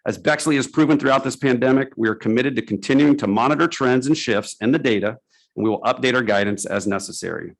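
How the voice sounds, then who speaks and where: A man reading a formal prepared statement at a school board meeting in a steady, official manner. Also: mid-range voice, fast talker.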